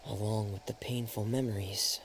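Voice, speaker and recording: A man voicing a shy, earnest young man in a video game, speaking softly and with hesitation. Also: fairly low pitch, fairly even intonation, moderate pace, a little hiss or hum, lightly acted. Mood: somber. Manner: soft, hesitant, reflective. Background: game music.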